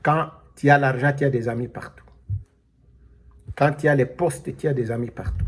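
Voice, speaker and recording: A man preaching a sermon, slow and emphatic, calling out alleluia as he explains scripture. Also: medium pitch, steady tone. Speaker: pastor.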